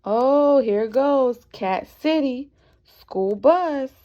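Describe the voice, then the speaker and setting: A woman teacher reading a picture book aloud to young children, expressive and encouraging, often asking the listeners questions. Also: high-pitched, drawn-out words. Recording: dull, muffled lo-fi sound.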